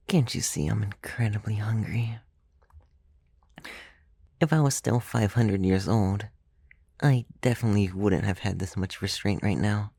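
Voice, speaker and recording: A man playing a gentle, clumsy, centuries-old male vampire in an ASMR roleplay, speaking softly and hesitantly, sometimes dropping into a whisper, with teasing intimacy. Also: on the low side, husky, no echo. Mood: teasing, hungry. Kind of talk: ASMR roleplay, soft-spoken with whispered stretches. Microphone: close, dry microphone.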